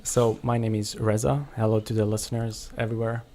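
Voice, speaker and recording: A young man from Iran, an actor, answering interview questions in a relaxed, polite way. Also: fairly low pitch, a little fast. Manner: relaxed, polite, laughs easily. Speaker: guest actor.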